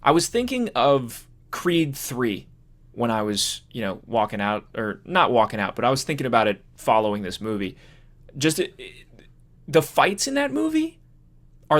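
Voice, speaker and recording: A man co-hosting a casual movie discussion podcast, talking informally and thinking out loud in a halting way. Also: medium pitch, sing-song. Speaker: podcast co-host.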